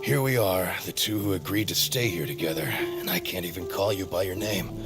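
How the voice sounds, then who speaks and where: A man's voice: deep voice, brisk, loud music behind the voice, lightly acted. An actor playing a weary, loyal sorcerer in a video game drama, anxious for his comrade, with an emotional, pleading manner. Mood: weary, patient.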